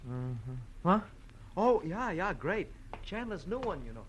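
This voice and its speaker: A man's voice: high voice, big pitch swings, unhurried, fairly bright tone, exaggerated voice. A man playing a mild, distracted hotel night clerk in a 1940s radio drama. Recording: noisy old radio recording.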